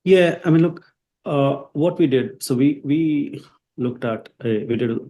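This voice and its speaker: A man's voice: fairly high pitch, unhurried, warm tone. A man from India whose first language is Hindi, a psychiatrist answering interview questions with many fillers and restarts. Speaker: psychiatrist and company founder.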